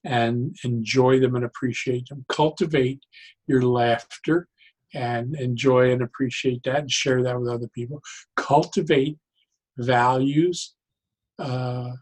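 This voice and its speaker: A man speaking as an interview guest, explaining his ideas conversationally in a deliberate, halting way and repeating key phrases. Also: mid-range voice, breathy edge. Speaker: interview guest, psychologist and joyologist.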